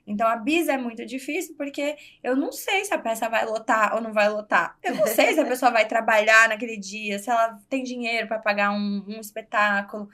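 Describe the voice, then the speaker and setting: A woman from Brazil with a slightly higher voice speaks in a casual interview. She talks candidly and confidently, with plenty of fillers. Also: a bit bright. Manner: candid, self-assured, chatty with fillers. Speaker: guest, entrepreneur.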